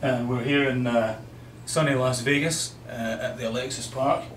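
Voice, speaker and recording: A man in his fifties with a Scottish accent informally presenting a product as an exhibitor, explaining in a hesitant, unhurried way. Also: medium pitch, fairly even intonation. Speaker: loudspeaker company exhibitor. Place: small hotel exhibition room, indoors. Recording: roomy hotel room, light background noise.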